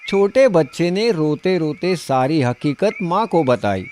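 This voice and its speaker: A man narrating a scripted children's story in an expressive, dramatic storytelling manner. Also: on the high side, a little slow, slight background noise.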